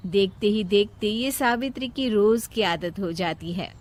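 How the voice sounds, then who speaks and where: A woman's voice: mid-range voice, a little slow. A woman storyteller reading scripted narration of a moral family story.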